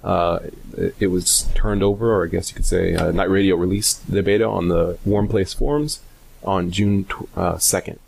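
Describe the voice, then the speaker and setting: A man giving a casual software tutorial, hesitant and informal as he thinks aloud. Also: deep voice, normal speed, gravelly, husky. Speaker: tutorial host.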